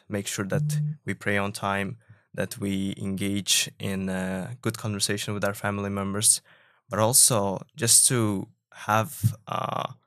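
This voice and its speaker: A young man from Uzbekistan speaks in a low, flat, gravelly voice. He explains things in a measured, thoughtful way, with pauses and fillers. The sound is dry and close. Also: no echo. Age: young adult. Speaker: student guest. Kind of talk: casual discussion.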